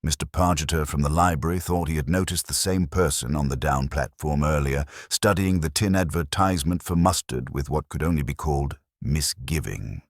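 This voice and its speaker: A man narrates a literary mystery in a very deep, slightly husky voice. His delivery is measured and wry, with lively movement in pitch and a close, dry sound. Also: no room sound. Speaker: audiobook-style narrator.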